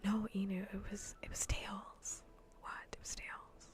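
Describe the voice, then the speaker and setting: A woman livestream host speaking softly in a breathy, often whispered ASMR style, teasing and playful, close to the microphone. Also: medium pitch, level tone. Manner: teasing, playful, slow and repetitive. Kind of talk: soft-spoken ASMR gaming livestream chat.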